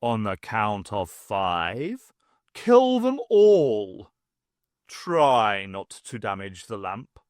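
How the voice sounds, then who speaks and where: A man voicing an imperious, mocking alien warlord in an audiobook, pompous and menacing, speaking slowly. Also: on the low side, very animated, no echo, clean studio sound, pitched below the performer's own voice, exaggerated voice. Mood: cold menace.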